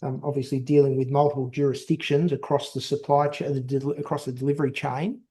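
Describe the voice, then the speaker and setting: A male company executive answering questions on an investor call, explaining in a halting, measured way with repeated words. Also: mid-range voice, level tone, mellow.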